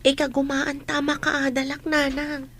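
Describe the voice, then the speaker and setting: A woman's voice: sits high, completely flat delivery, a little slow, a bit bright. A woman playing a dutiful, hopeful young student daughter in a radio drama.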